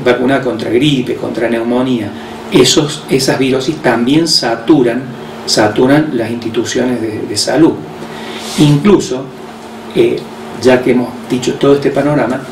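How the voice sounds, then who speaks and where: A man with an Argentine accent, a hospital director who explains health measures on the radio in a measured way, with frequent hesitations. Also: medium pitch, vocal fry. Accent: Argentine Spanish. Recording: roomy distant mic with faint noise.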